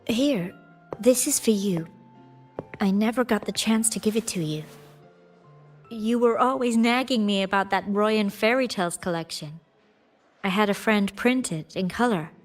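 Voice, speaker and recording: An actress playing a kind young graduate student in a video game, gently teasing and earnest, with slight hesitation. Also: female voice, mid-range voice, normal speed, pauses often, faint music behind, natural voice. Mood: gentle, warm. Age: young adult.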